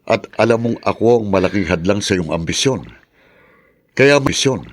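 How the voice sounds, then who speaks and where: A man's voice: fairly low pitch, lively intonation, normal speed. A man with a Filipino accent playing a vengeful, accusing brother in a radio drama, stern and threatening. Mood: accusing, menacing. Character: menacing, vengeful male political rival, accusing brother.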